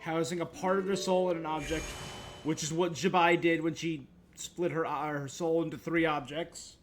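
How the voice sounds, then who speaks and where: A man streams a game and comments off the cuff. His voice is a little high for a man, and he thinks aloud in a casual chatty way over faint music. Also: steady tone, moderate pace.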